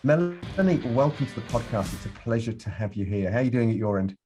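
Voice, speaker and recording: A man's voice: mid-range voice, expressive, quick pace, over music. A man hosting a podcast interview, upbeat and welcoming as he asks questions. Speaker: podcast host.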